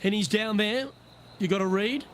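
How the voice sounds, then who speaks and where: A man's voice: high-pitched, normal speed, slight background noise, lightly acted. A man voicing a composed golf broadcast anchor in a video game, calling play as lead commentator. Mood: composed, upbeat.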